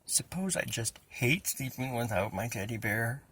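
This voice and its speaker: A man reading a children's story aloud as an expressive narrator. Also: medium pitch, normal speed, breathy edge. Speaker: storybook reader.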